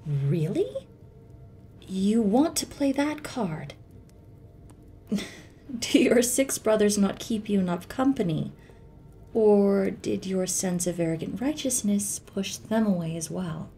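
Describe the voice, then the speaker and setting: Woman acting a mellow, unhurried, sly moon goddess. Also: animated. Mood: mocking. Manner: teasing, sardonic, composed, breathy asides. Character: sly imprisoned moon goddess, taunting scornful wife. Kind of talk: ASMR roleplay audio drama. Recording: faint noise, low rumble behind voice.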